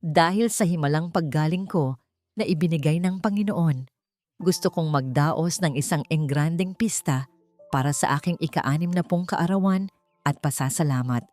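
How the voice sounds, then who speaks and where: A woman's voice: deep voice, moderate pace, darkish tone, no echo, lightly acted. A woman voicing a scheming, domineering mother-in-law of sixty in a melodramatic audio story, with a grand, commanding manner. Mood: proud, grand. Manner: grandiose, commanding, pretend-outraged. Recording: music behind the voice.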